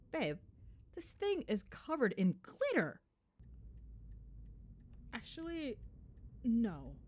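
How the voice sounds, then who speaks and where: A woman's voice: a little high, a little hiss or hum, heavily acted. A woman playing a chatty, gossipy girlfriend in a casual ASMR phone-call roleplay, with playful, sweary, dramatic griping. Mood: mock outrage. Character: chatty, gossipy girlfriend venting on the drive home.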